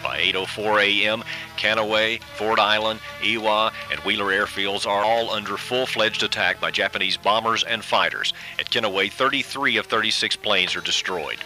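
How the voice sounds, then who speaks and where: A man giving scripted documentary radio narration in a measured, solemn delivery. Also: on the low side, pushed and bright, low-quality recording. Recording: radio broadcast with music bed. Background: background music.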